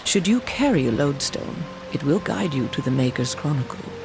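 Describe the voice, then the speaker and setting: A man playing an aloof, bargaining quest giver in a video game, measured and formal with a gravelly edge. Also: fairly high pitch, very animated. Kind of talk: video game cutscene acting. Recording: music behind the voice.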